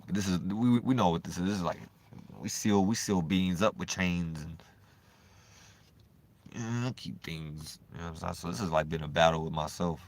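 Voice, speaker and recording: A man vlogging in a loose, profane, rambling monologue, swinging between animated bursts and low mumbling. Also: normal speed. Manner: loose, profane and repetitive, full of "you know what I'm saying".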